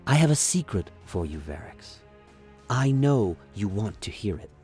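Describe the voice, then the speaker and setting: A man's voice: sing-song, warm tone. A man portrays a mad exiled prince in a fantasy radio play. He is sinister and smirking, drawing out conspiratorial lines, with music in the background. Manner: low, conspiratorial, drawn-out.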